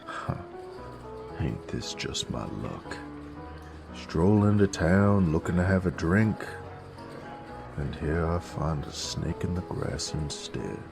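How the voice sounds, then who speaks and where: A man playing a gruff werewolf bounty hunter in a Western audio drama, with a slow, sardonic Southern American drawl and a gravelly voice. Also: extremely low pitch, expressive, music in the background, full character voice. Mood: wry menace. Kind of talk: Western audio roleplay acting.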